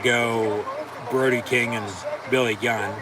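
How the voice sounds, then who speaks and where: A man's voice: mid-range voice, steady tone, heavy background noise. Rambling man calling wrestling, far from mic. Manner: rambling, profane, halting with fillers. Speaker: watch-along commentator. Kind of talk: casual play-by-play reaction.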